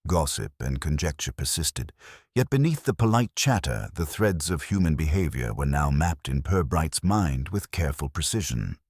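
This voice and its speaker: A man narrating a scripted mystery story in a measured, formal storytelling manner. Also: extremely low pitch, sing-song, a little mellow, husky, no room sound, clean studio sound. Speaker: audiobook narrator.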